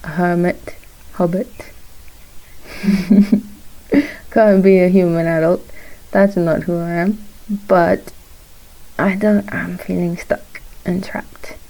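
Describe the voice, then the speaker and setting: A shy young woman who grew up in Morocco chats casually while drawing at home, halting often and laughing between thoughts. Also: on the low side, steady tone, vocal fry, mellow, low-quality recording. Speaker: vlogger, aspiring character designer.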